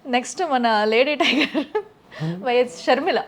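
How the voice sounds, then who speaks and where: A woman interviewer calling out prompts briskly from a list. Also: high voice.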